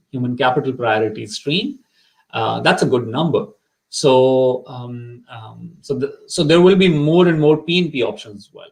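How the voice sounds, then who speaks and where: A man's voice: medium pitch, rough recording. A middle-aged man whose first language is Urdu, giving earnest advice on immigration in a live session, with many fillers and repeated words. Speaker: immigration consultant.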